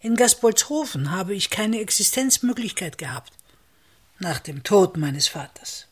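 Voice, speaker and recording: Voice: medium pitch, sing-song, measured pace, breathy edge. A meek village innkeeper. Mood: resigned. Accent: Austrian. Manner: short, resigned replies. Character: subdued innkeeper, meek and terse. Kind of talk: radio drama acting.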